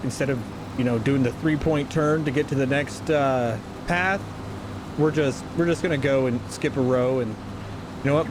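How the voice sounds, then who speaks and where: A man's voice: fairly high pitch, background noise. A man with a stuffy nose, talking through his moves in casual, rambling gameplay commentary and often correcting himself. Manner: rambling, self-correcting, chatty. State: stuffy from a sinus infection. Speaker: gaming channel host.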